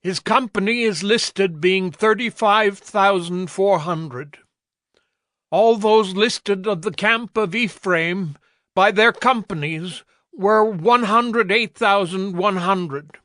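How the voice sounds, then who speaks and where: A man's voice: high voice, takes it slowly, sharp tone, no room sound, studio quality. A man giving a formal, declamatory reading of scripture from a script. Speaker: narrator. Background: prominent music.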